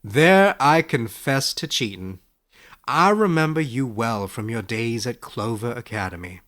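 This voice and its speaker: A man playing a skeptical but kindly professor in a storybook, with a dry, measured, gently lecturing manner. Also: medium pitch, animated. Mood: amused, wry. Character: wry, skeptical, kindly male professor, unhurried and quick-witted. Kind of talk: storybook character voice in audiobook narration.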